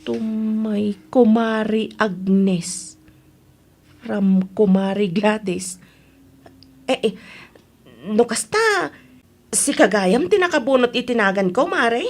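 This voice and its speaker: A woman playing an excitable, curious village woman in a comedy radio drama, with a Filipino accent and expressive, drawn-out exclamations. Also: on the high side, heavily acted. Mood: doubtful.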